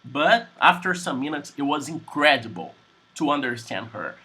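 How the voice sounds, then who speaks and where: Brazilian guy teaching english over a video call, bright tone, bit husky, slow and repeats stuff. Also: mid-range voice, sing-song. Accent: Brazilian Portuguese. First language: Portuguese. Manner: slow, simple sentences, repeats words for the student. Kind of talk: online English class conversation.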